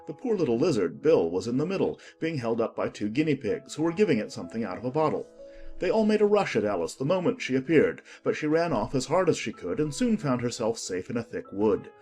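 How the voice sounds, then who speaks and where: A man narrating a children's audiobook in an expressive, scripted storytelling style. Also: mid-range voice, quick pace, faint music behind.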